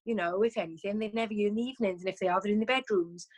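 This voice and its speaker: A woman's voice: mid-range voice, racing, slightly rough. A woman talking casually as a guest over a video call, chatty, with frequent ums and restarts.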